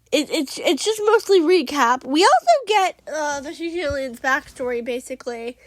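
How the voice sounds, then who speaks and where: A high-pitched woman talks through a casual solo podcast recap, a bit sniffly as she gets over a cold. She rambles along with plenty of fillers and restarts. The sound is dry and close, with no room around it. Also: no echo. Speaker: podcast host.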